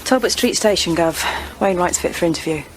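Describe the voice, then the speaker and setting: A woman playing a sharp, brisk British junior detective in an audio drama. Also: fairly low pitch, animated, background noise, natural voice. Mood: pointed, brisk.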